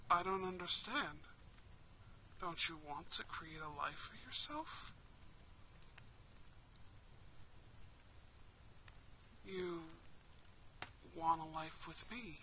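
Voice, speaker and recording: A woman's voice: fairly low pitch, slow pace, slight background noise, voice pushed down, low-quality recording. A woman voicing a kind, protective young prince in an intimate roleplay, tender and dramatic. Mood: stunned, tender. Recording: steady rain and fireplace ambience.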